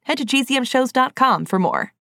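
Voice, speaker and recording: A woman's voice: high voice, animated, a little fast. A woman podcast host reading a scripted promo, upbeat and speaking straight to listeners. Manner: upbeat, addressing listeners directly.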